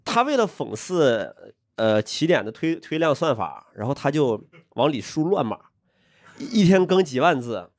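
Panelist, man, comic storyteller, dry wisecracks, slightly high and very lively. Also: normal speed. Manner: animated anecdotes, dry quips, laughs at his own jokes. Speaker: podcast panelist. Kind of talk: comic storytelling in a casual chat.

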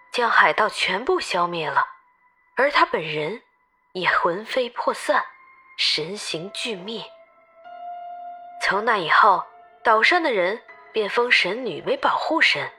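A woman playing a lively tour guide who tells an island legend to travelers in an expressive, emphatic audio-novel style. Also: mid-range voice, breathy edge, heavily acted. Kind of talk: dramatized storytelling in an audio novel.

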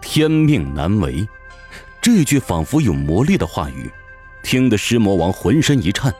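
A man's voice: on the low side, big pitch swings, a little slow, a little mellow, soft background music. A man narrating a fantasy audio drama from a script in a theatrical, suspenseful manner.

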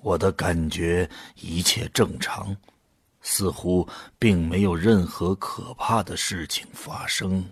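A man's voice: low-pitched, fairly even intonation, takes it slowly, husky, dry recording, studio quality, exaggerated voice. An actor playing an earnest man who volunteers for a drug trial and tells his own story in a science fiction radio drama. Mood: reflective, subdued. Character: male first-person narrator, anxious but resolute drug-trial volunteer. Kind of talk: Chinese sci-fi radio drama acting and narration.

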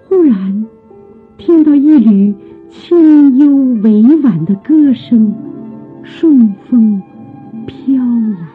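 A woman narrating a radio drama in a measured storytelling style with deliberate phrasing. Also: on the high side, lively intonation, faint music behind. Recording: muffled phone-like old radio recording.

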